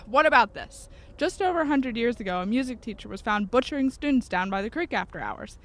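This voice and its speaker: A woman's voice: a little high, lively intonation, fairly quick, a bit bright. A woman playing a stern, insistent young goth occultist in an audio drama, earnest and ominous.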